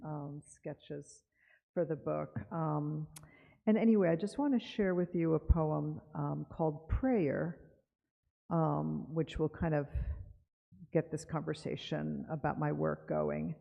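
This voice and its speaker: A woman from Cuba giving an unhurried, conversational author talk in a synagogue chapel, reading a poem aloud and often pausing with fillers. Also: low voice, steady tone, gravelly. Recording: dull live broadcast with long reverb.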